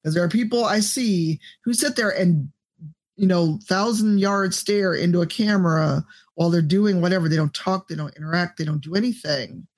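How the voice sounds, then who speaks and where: A woman with a deep voice, a podcast guest and streamer giving blunt, profane advice in casual chat. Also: moderate pace, darkish tone.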